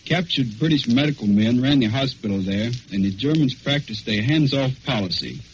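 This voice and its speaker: Young American male soldier, mid-pitched, reading personal testimony over a dull, slightly noisy 1940s radio broadcast. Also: expressive, normal speed. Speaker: wounded soldier recounting combat.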